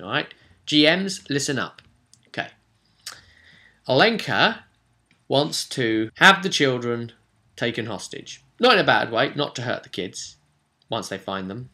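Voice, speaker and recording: Low-voiced man with a bright, forceful edge. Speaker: game master recapping a session. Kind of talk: tabletop RPG session recap vlog.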